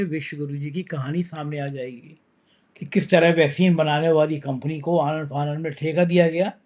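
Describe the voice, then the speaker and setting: A man's voice: a little high, darkish tone. A man hosting a political news talk show, talking with a sore throat in a conversational, emphatic way.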